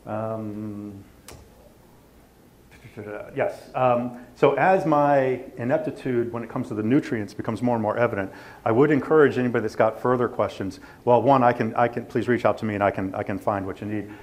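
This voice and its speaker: A man presenting technical slides informally as a company representative, speaking haltingly and with self-deprecation. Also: mid-range voice.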